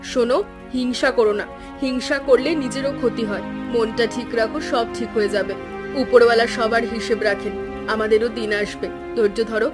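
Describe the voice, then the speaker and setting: A woman acts a patient wife and mother from a village folk tale cartoon. She speaks soothingly and reassuringly in a woman's voice pitched a bit high, with music playing underneath. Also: steady tone, moderate pace, close to an everyday voice. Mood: consoling.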